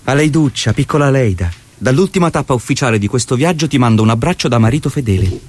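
An actor as a husky, idealistic revolutionary man. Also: mid-range voice, lively intonation, fast, lightly acted. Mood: affectionate. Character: Che, passionate, idealistic revolutionary and faraway husband. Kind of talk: theatrical reading of letters and speeches.

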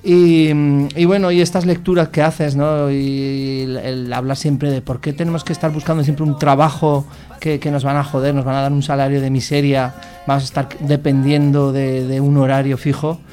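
A man's voice: mid-range voice, little pitch movement, normal speed, few pauses, warm tone, soft background music. A man with an accent from Spain, a musician guest chatting casually, explaining things informally and hesitantly with tag questions. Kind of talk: casual chat.

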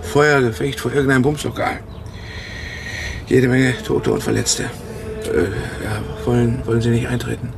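An actor playing a mild, inquisitive, slightly awkward neighbour in a radio crime drama, speaking politely and haltingly. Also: male voice, mid-range voice, steady tone, vocal fry, background noise, natural voice. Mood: curious. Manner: hesitant, stammering, polite. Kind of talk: naturalistic German radio crime drama acting.

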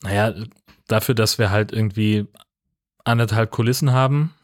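A man's voice: on the low side, fairly even intonation, normal speed. A man co-hosting a podcast, talking through a film in a casual, colloquial and dry way. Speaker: podcast co-host. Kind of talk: casual film recap and chat.

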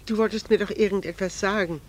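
An actress playing a restrained, dignified middle-aged wife in a radio drama, wounded and incredulous, with theatrical diction. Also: female voice, medium pitch, steady tone, fast, close to an everyday voice. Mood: guarded, polite. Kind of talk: 1960s German radio drama acting.

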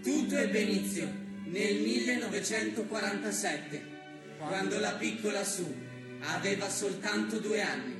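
A slow, high-voiced storyteller. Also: male voice. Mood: solemn. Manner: repetitive, deliberate. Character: solemn storyteller recounting a life. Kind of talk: incantatory stage narration over music. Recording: echoing, music under the voice. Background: music.

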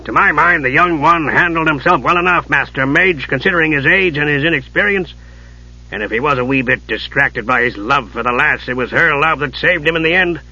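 A man's voice: on the high side, brisk, pushed and bright, muffled recording. A man voicing a blunt, irritable male dwarf who mutters and growls, as in a fantasy audiobook. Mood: stern reproach.

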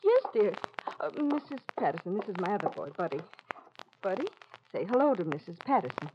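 A woman's voice: medium pitch, big pitch swings, brisk, lightly acted. A woman playing a worn, anxious, loving mother, hesitant and plain-spoken, in an old radio drama. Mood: gently motherly. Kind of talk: 1940s-50s radio drama acting. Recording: muffled, noisy old radio recording.

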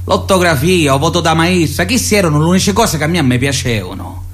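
A man's voice: a little high, animated, fairly quick, close to an everyday voice. An actor playing a pushy, lovestruck young man with a Sicilian accent in a radio play, colloquial and theatrical. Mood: reminiscing, animated. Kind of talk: radio theatre monologue and dialogue. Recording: acoustic guitar music behind.

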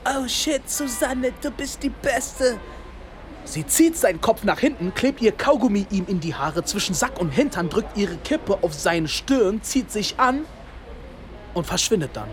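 A man's voice: sits high, lively intonation, unhurried. A man playing a street-smart narrator in a radio drama, telling a gritty story in rhythmic, staccato phrases. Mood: animated storytelling. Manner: rhythmic, staccato spoken-word delivery in short phrases. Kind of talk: scripted radio drama narration in second person. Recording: loud music bed, urban street sounds.

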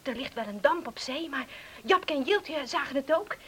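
A woman's voice: high-pitched, animated, normal speed, fairly bright tone. An actress playing an eager, pleading young country girl in a radio drama. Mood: excited, urgent. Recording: hissy old radio play recording.

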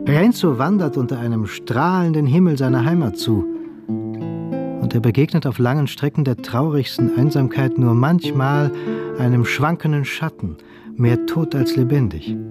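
A man's voice: medium pitch, normal speed, over loud music. A man narrating a radio play from a script as its storyteller.

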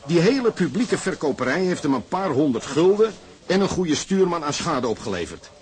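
An actor playing a fervent socialist sailor who lectures insistently and persuasively with emphatic questions, in a Dutch radio drama. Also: male voice, on the high side, sing-song, moderate pace. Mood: fervent, explaining.

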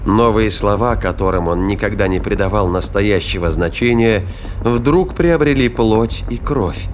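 A man's voice: fairly low pitch, measured pace, a little hiss or hum. A man reading dramatic scripted narration for an audio drama.

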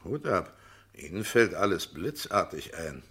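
A low male voice as a private detective in a radio crime play. He is cool and controlled, asking short, persistent questions with a dry, sceptical edge. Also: big pitch swings, unhurried, a bit bright, breathy edge, full character voice. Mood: probing, dry. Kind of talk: German radio crime drama acting.

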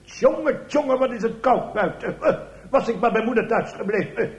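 A man's voice: very high voice, moderate pace, muffled recording, pitched above the performer's own voice. A man playing a whiny, bumbling police inspector who exclaims and questions in a comic radio play. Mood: baffled. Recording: echoey room, faint noise.

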